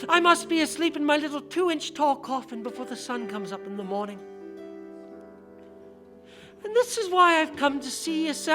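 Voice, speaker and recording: A woman's voice: high voice, voice pushed up. Woman putting on a sly leprechaun vampire. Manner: wheedling, coaxing, a bit boastful. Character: mischievous tiny male leprechaun vampire.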